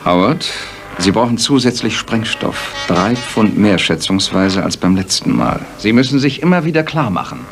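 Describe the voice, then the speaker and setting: An actor playing a precise, commanding, sardonic gentleman detective in a German radio play. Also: fairly low pitch.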